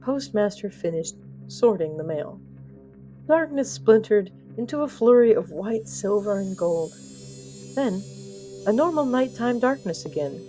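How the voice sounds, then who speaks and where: A woman's voice: mid-range voice, lively intonation, a little slow, mellow, soft background music, rough recording. A woman narrating scripted fiction in a dramatic, wry storytelling manner.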